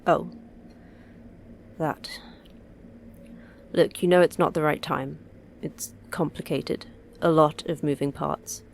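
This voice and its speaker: A woman's voice: low-pitched, steady tone, slow, gravelly, long pauses, slight background noise, exaggerated voice. A woman playing a terse, irritable, sarcastic station manager in a sci-fi horror audio drama. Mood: weary sarcasm. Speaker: station manager of a secret facility.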